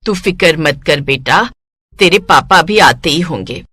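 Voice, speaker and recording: A woman's voice: low voice, expressive, unhurried, sharp tone, slightly rough, no room sound, voice pushed down, full character voice. A woman voicing a caring middle-aged mother, affectionate and reassuring, in a cartoon story.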